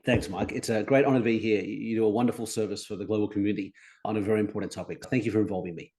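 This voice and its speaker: An Australian man in his forties, a guest on a podcast, talking upbeat and articulate with animated emphasis. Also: on the low side, very fast. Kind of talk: conversational interview.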